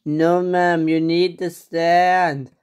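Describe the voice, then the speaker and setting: A performer puts on a karate instructor for a pretend-play story. The voice is medium in pitch and unhurried, with a polite but insistent teaching manner. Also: level tone, darkish tone, smooth.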